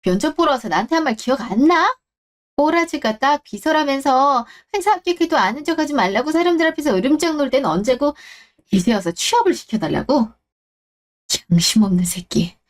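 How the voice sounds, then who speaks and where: High-pitched, scornful ex-wife, acted by a woman. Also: lively intonation, a little fast. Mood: scornful, furious. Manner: scolding, cutting, defiant. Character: proud, scornful ex-wife, now a company executive. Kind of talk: Korean radio-drama story acting.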